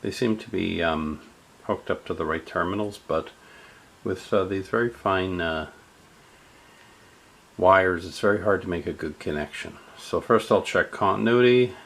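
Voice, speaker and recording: Slow, halting man explaining a radio repair. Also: deep voice, creaky, a bit bright. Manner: slow, halting, with many fillers. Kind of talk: step-by-step repair narration.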